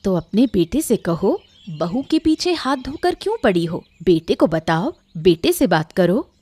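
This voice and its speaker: A woman's voice: mid-range voice, very animated, a little slow, mellow, slightly rough, no room sound. A woman voicing an authoritative, fair-minded queen in a cartoon story, speaking firmly and directly. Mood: firm, reproving. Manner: firm, direct. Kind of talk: Hindi cartoon story voice acting.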